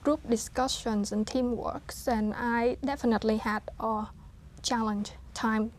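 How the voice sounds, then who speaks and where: Woman in her 30s, kinda high voice, lots of uh and um, halting. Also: fairly even intonation, a little hiss or hum. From Vietnam. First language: Vietnamese. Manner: hesitant, many fillers and restarts, laughs softly. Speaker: interview guest. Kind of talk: casual interview talk.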